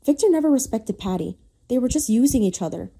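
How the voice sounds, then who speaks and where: Woman narrating drama in a very soft-toned voice. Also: on the high side, lively intonation, quick pace, vocal fry, breathy edge. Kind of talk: scripted dramatic story narration.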